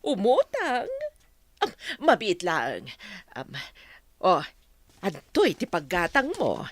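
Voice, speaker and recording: A woman playing a breezy, generous returning old school friend in an Ilocano radio comedy-drama, with an Ilocano accent and drawn-out, expressive delivery. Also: mid-range voice.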